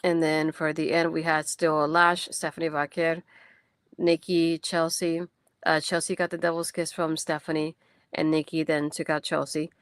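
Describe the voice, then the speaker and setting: A woman's voice: sits low, level tone, moderate pace, clean tone, no room sound, studio quality. A young woman, not a native English speaker, hosting a casual post-show recap in a chatty, enthusiastic way with many fillers. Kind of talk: casual wrestling event recap.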